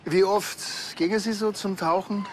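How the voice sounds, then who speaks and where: An actor playing a dry, sardonic small-town policeman with a Bavarian accent in a comedy series, deadpan and unbothered. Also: high-pitched, normal speed, background noise, close to an everyday voice. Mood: matter-of-fact.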